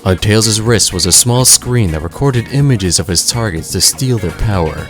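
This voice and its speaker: A man's voice: fairly low pitch, lively intonation, moderate pace, fairly bright tone, soft background music. A man narrating a scripted audio drama in a dramatic storytelling manner.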